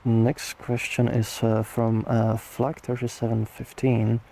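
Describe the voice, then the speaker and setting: Tired rambling man, gravelly and flat. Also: medium pitch, slow pace. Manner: rambling, many fillers and restarts. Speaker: host answering viewer questions. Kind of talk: casual livestream chat.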